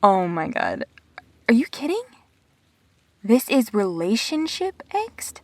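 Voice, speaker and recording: A woman playing a perceptive, teasing, supportive friend in an intimate sci-fi audio drama, expressive and blunt. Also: fairly high pitch, takes it slowly, full character voice. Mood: excited, incredulous.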